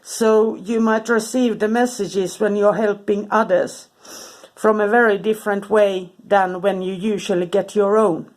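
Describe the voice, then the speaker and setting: A woman with a cold, sniffling, giving a casual, halting talk full of ums and restarts. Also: mid-range voice, fairly even intonation, a little mellow. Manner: hesitant, many ums and restarts. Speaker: spiritual content creator. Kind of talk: casual informal talk to camera.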